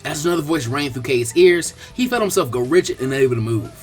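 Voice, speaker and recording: A man's voice: fairly high pitch, animated, fast, faint music behind. A man narrating fan fiction as a scripted story reading.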